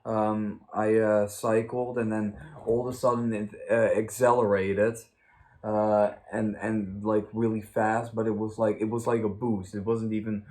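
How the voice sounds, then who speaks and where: Halting, low-voiced young man chatting. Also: little pitch movement, dark tone. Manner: halting, rambling. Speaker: host of a story time channel. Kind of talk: casual chat, anecdote telling.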